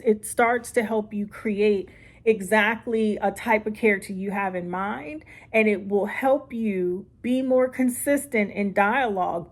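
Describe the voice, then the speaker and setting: A middle-aged woman with a mid-pitched voice speaks as a writing coach. Her delivery is informal and conversational, as if addressing each viewer directly. She is encouraging and puts emphasis on the points she wants remembered. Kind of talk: informal instructional talk to viewers.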